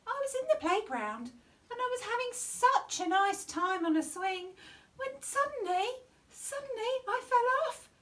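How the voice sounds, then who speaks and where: A woman's voice: very high-pitched, a bit bright, far from the mic. A woman reading a children's bedtime story theatrically, stretching words with exaggerated emphasis. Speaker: storytime reader at a primary school.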